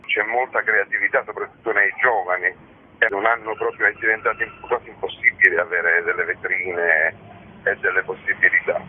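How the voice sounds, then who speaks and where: Husky, low-voiced man in interview. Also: steady tone, normal speed. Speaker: festival founder and organiser, interview guest. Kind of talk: conversational radio interview. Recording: muffled, lo-fi radio interview audio.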